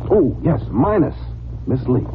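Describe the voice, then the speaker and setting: A man's voice: medium pitch, big pitch swings, measured pace. An actor playing a flippant, flirtatious detective's legman in 1940s radio style, with wry patter. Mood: smitten, flirtatious. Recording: tinny, noisy old radio recording.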